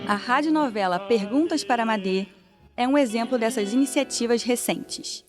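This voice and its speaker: A woman presenting a narrative podcast with a fairly high voice. She reads her scripted narration clearly and evenly. Faint music plays beneath her. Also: moderate pace. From Brazil.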